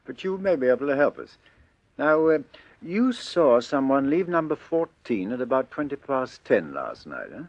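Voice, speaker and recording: Radio drama: British detective, patient questioner with animated intonation, dry, slightly muffled studio sound. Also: male voice, medium pitch, moderate pace, dry recording. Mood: coaxing, probing. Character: brisk police detective, probing and patient questioner.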